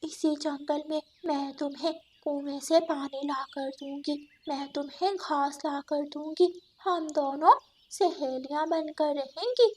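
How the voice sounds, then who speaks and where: A woman voicing a cunning female fox who coaxes with false friendliness in an animated fable. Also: high voice, a little slow, slight background noise, voice pushed up. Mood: coaxing.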